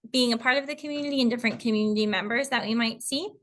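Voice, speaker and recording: A woman's voice: a little high, very fast, fairly bright tone. A woman kindergarten teacher, introducing colleagues and explaining the curriculum to parents, working through lists with frequent ums. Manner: reads through lists with fillers. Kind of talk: presenting a school info video.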